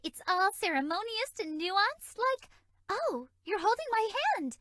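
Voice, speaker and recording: A sweet, naive fairy for a video game. Her voice is extremely high and airy, with animated, bouncy melody. She sounds innocently flirty, in a very dry recording. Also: a little slow, no room sound, exaggerated voice. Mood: shy, flirty. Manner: bubbly, innocently suggestive, airy. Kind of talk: video game character dialogue.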